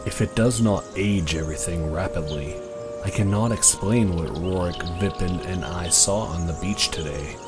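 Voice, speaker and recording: A man playing a grave, measured elf druid father in a fantasy audio drama. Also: sits low, music in the background, close to an everyday voice. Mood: grave, thoughtful.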